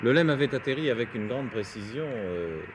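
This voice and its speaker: A man's voice: mid-range voice, lively intonation, a little fast. A man presenting and commenting on footage as a 1970s television news anchor. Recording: noisy 1971 French TV newsreel.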